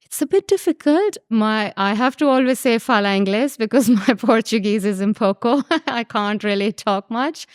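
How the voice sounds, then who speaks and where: A woman's voice: medium pitch, a little mellow, smooth, no room sound, clean studio sound. A woman in her thirties from Pakistan, chatting easily as a guest designer, with a ready laugh. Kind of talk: casual interview chat.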